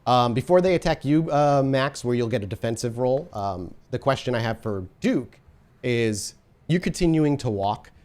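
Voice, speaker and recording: Man narrating a dark tabletop scene. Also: medium pitch, animated, moderate pace. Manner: vivid scene narration mixed with patient rules explanations. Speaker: storyteller, game master. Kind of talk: narrating a tabletop horror game.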